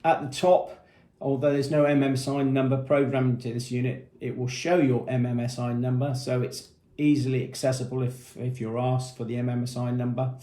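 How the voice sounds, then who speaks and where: A man's voice: medium pitch, flat delivery, fairly quick, warm tone, reverberant. A man presenting a product demonstration in a methodical, matter-of-fact, explanatory way.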